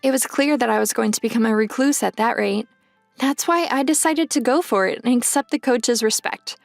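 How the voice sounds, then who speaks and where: A tall young female basketball pro telling her own story in a manga dub. Her voice sits fairly high, that of a once-insecure girl looking back in first-person narration. Music plays softly behind her. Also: brisk, vocal fry, no echo. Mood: reflective.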